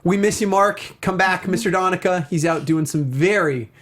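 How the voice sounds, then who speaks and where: A man with a fairly high voice hosts a casual talk show. He speaks conversationally, with excitable emphasis on his points. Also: normal speed. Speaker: show host. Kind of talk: casual film and game discussion.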